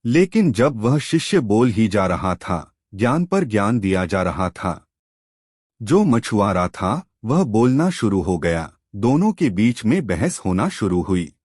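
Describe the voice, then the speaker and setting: Slow, expressive male storyteller. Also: lowish voice, breathy edge, dry recording, studio quality. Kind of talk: moral storytelling.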